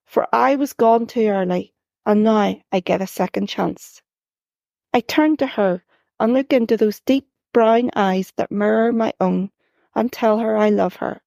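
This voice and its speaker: A woman author reading her first-person story in a calm, reflective way. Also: medium pitch, unhurried, creaky, no echo.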